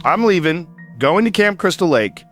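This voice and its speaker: A man's voice: high-pitched, sing-song, normal speed, a bit bright. A man playing a 68-year-old small-town radio host in an audio drama, solemn and fatalistic, delivering a plain-spoken emergency broadcast monologue. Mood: grim resolve. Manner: solemn, resolute, plain-spoken short declarations. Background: music under the voice.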